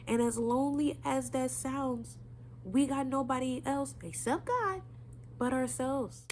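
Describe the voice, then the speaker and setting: A woman's voice: on the high side, creaky, a little hiss or hum. A young woman with a stuffy nose giving an earnest, preachy motivational talk straight to her viewers. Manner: earnest, preachy, direct address. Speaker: vlogger.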